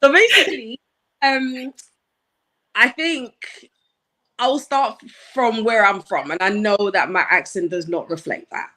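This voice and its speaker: A woman in her thirties from Nigeria, a guest in a casual chat, thoughtful and quick to laugh. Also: a little high, bright, forceful tone. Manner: laughs often, thoughtful, some ums.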